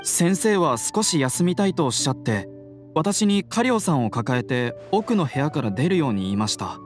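A deferential young-sounding male assistant from an anime game, speaks formally and politely. His voice is fairly high and animated, with faint music behind it. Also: normal speed. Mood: polite, dutiful.

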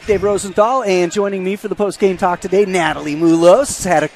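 A man's voice: sits high, fairly quick. A middle-aged man hosting a postgame interview, chatty and joking, speaking into a headset mic at a courtside table in a gym. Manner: lively, chatty, jokey. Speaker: sports broadcaster, postgame host.

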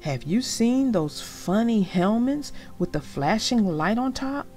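A woman's voice: fairly high pitch, expressive, slow pace, mellow, soft background music. A woman voicing a blunt, mocking young fox in a children's storybook. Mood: teasing.

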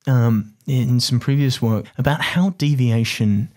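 A man's voice: mid-range voice, a little slow, darkish tone. A man hosting a podcast, asking his guest long, thoughtful questions. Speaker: podcast host. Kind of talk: interviewing a guest.

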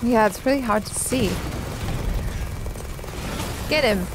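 Higher-voiced, slow-paced woman reacting casually. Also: background noise. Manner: playful. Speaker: reaction show co-host.

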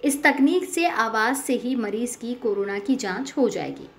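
A woman's voice: a little high, expressive, a little slow. A woman presenting the news in a scripted narration style.

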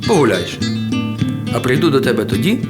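Death speaks as a small, stooped old woman of folk tale, acted out by a male storyteller. The manner is colloquial, confident and matter-of-fact. Guitar music plays clearly underneath. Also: medium pitch, sing-song, a little fast, voice pushed down, natural voice. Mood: solemn, sparing.

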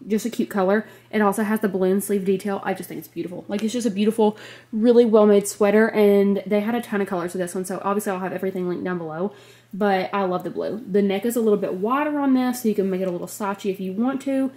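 A woman's voice: medium pitch, steady tone, fast talker, mellow. Slightly nasal young American woman. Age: 20s. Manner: gushing, emphatic, frequent "like". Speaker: fashion vlogger. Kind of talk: casual clothing haul chat. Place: bedroom, indoors. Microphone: handheld compact camera at arm's length.

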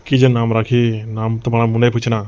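A man's voice: mid-range voice, monotone, quick pace, a little mellow, clear, resonant tone, lo-fi sound, lightly acted. A man playing a coarse, blustering, hot-tempered gangster boss and harried father in an amateur comic cartoon dub.

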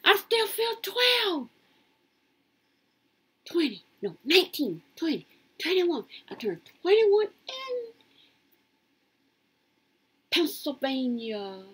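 A woman in her late fifties rambling through her life story in a casual, lively way. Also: slow pace, pauses often. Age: 50s. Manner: lively, swoops high when quoting others.

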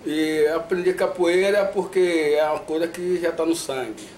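An older man with a Bahian accent, from Salvador, speaks softly and in a measured way in an interview. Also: on the high side. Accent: Bahian Portuguese. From Salvador, Brazil. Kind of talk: interview testimony. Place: indoors in front of a flag backdrop. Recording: echoey room with faint noise.

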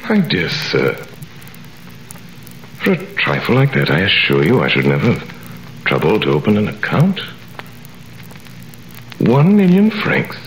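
An actor playing a cool, wealthy, mysterious nobleman in a 1930s radio drama, suave, measured and quietly superior. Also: low voice, wildly swooping pitch, a little hiss or hum, heavily acted. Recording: echoey vintage radio recording with music.

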